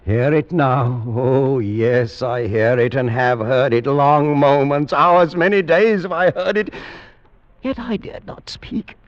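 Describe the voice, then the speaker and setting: An actor playing a morbid, tormented gentleman sliding into madness, brooding and melodramatic in 1940s radio horror style, with a gravelly voice. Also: mid-range voice, lightly acted. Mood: haunted confession. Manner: brooding, melodramatic, drawn-out phrasing. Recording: dull vintage radio recording.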